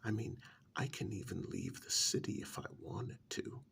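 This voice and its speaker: A man playing a smug, pampered captive in a soft-spoken ASMR role-play, drawling sardonically with long pauses and feigned innocence. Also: on the low side, slight background noise. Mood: smug, boastful. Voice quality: soft, half-whispered, gravelly.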